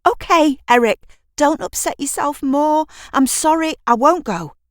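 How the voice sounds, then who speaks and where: A caring girl, played by a woman, speaking in an audio drama. Her voice sits high and sounds anxious, urgent and hushed as she coaxes and soothes. The recording is dry and close. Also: animated, a little slow, dry recording. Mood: anxious, pleading.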